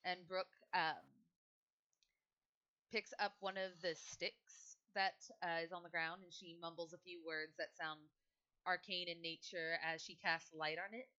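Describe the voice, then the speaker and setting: A woman's voice: lowish voice, level tone, measured pace, bright, forceful tone, muffled recording. A woman improvising casual narration as a player in a tabletop roleplaying game.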